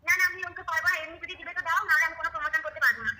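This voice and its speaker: A woman's voice: very high voice, very fast. A woman in a casual phone conversation, answering a caller over a speakerphone. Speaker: prank call recipient, a blogger. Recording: phone call on speaker, echoey, faint noise.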